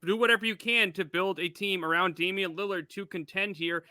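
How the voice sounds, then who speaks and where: A man's voice: high-pitched, fairly even intonation, a little fast, pushed and bright, no room sound. A man hosting a sports show, asking questions in a conversational interview style.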